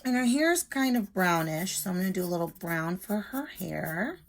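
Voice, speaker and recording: A woman with a middle-pitched voice speaks as an elementary teacher. She reads aloud and explains things simply, step by step, as in a lesson for young children. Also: lively intonation, normal speed.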